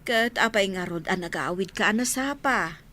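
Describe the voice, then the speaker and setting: A woman's voice: mid-range voice, normal speed, sharp tone, lightly acted. A woman playing a worried, scolding village mother in a radio drama, with a Filipino accent. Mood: worried, chiding. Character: worried, hard-up village mother of grown children.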